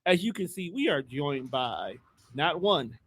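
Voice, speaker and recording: A young man hosts a casual podcast with a lively, bouncing delivery and a bright, forward tone. He sits a little high in pitch and keeps things joking and loose. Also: a little slow, slightly rough. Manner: joking, animated, laughs often. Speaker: podcast host. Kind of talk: casual comedic chat.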